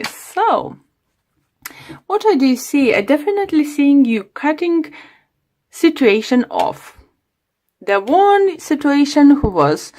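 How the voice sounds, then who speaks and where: A woman whose first language is not English, giving a tarot reading to camera in a halting way as she searches for the right English words, her voice a little worn. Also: sits high. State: slightly losing her voice. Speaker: tarot reader.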